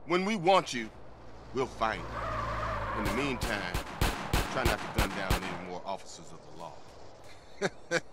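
An actor playing a cocky, menacing corrupt police officer in a video game, with a mocking, theatrical, drawn-out delivery. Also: male voice, fairly low pitch, sing-song. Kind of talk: video game cutscene acting. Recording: game audio with loud street and vehicle noise.

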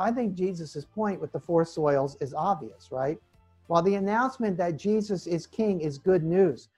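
Middle aged guy preaching a sermon, kinda high voice, stresses his key phrases. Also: a little mellow. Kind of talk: sermon read from notes. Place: home sunroom with large windows, indoors.

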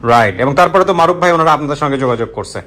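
A man's voice: a little high, lively intonation, racing, fairly bright tone. A man hosting a reunion show, asking questions in an informal, conversational way. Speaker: host of a family reunion show. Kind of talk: informal interviewing.

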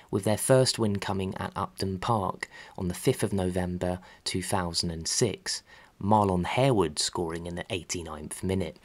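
Male narrator, fairly low pitch, scripted sports-history read, measured and factual. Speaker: narrator of a football history channel.

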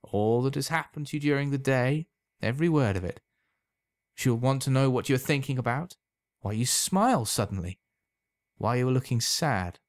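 A man voicing a sly, knowing old potion seller in a storybook reading, unhurried, insinuating and emphatic. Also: mid-range voice, expressive, no echo, clean studio sound, pitched above the performer's own voice, natural voice.

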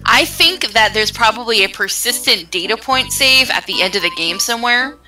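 A woman's voice: fairly high pitch, animated, moderate pace, bright, forceful tone, distant mic, soft background music, rough recording. A woman chatting casually while playing a game with friends. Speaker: player in a group gaming stream. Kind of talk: casual chat.

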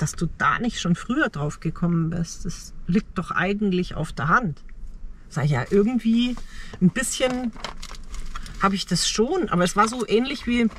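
A middle-aged woman talking reflectively in short, halting phrases in a personal vlog, recorded inside a parked car. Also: on the low side, slight background noise. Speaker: travel vlogger.

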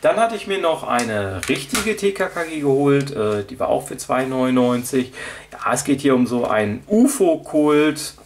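A man's voice: medium pitch, animated, normal speed, slight background noise. A man talking casually off the cuff in a show-and-tell vlog, rambling and hesitant as he thinks aloud. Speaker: vlogger showing audio drama collection. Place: indoors at a table, off camera.

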